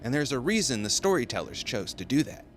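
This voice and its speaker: A man's voice: mid-range voice, very animated, a little fast. Man narrating a video essay over music. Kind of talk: scripted commentary with casual asides.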